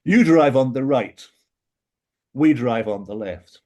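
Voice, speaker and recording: A British man delivering a careful, lecture-style argument in a middle-pitched voice. He stresses key words with sharp upward lifts, then drops low and quiet for wry, dry asides. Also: lively intonation, takes it slowly. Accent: British English. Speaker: panelist in an academic book discussion. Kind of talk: prepared philosophy talk.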